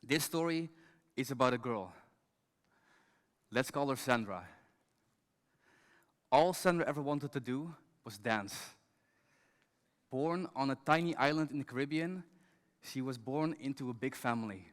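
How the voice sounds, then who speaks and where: A man speaking on a conference stage in a middle-pitched voice, telling a story in a measured, scripted way. His voice carries through a large hall with a long reverb. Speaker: education director and conference speaker.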